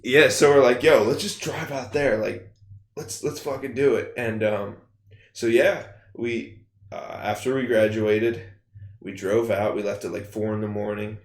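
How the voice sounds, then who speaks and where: Young rapper guest, deep-ish gravelly voice, loose rambling podcast chat in a reverberant room. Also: male voice, fairly even intonation, measured pace. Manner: laid-back, rambling, swears a lot. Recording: echoey room.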